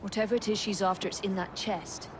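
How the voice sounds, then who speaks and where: A woman's voice: mid-range voice, level tone, fairly quick, noisy background, natural voice. An actress playing a cautious, serious young Victorian woman in a video game, dry and businesslike. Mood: brisk, stern. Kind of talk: video game cutscene acting.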